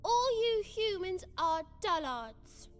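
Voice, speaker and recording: A woman's voice: very high voice, very animated, slow pace, faint music behind, full character voice. An actress voicing a mischievous, playful little girl godling in a video game, with a childlike, teasing British manner of speech. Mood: playful, defiant. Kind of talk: video game character acting.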